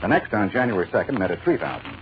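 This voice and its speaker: A man's voice: low voice, huge pitch swings, quick pace, vocal fry, full character voice. An actor playing a grave, methodical male crime investigator in a 1940s radio drama, serious and probing. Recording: muffled, hissy 1940s radio recording.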